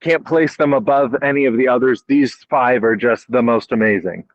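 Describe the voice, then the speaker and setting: A male podcast host chatting casually with a guest. His voice has a middle pitch and comes through a slightly muffled, lo-fi recording with very little room sound. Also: moderate pace, dry recording. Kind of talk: casual chat interview.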